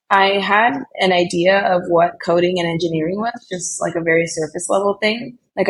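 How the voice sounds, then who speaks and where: A woman's voice: on the low side, poor audio. A young woman from California talking casually as a podcast guest, with lots of "like" and "you know". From Colombia, raised in California Bay Area. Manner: chatty, frequent "like" and "you know". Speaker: podcast guest, developer relations lead. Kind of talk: casual interview chat.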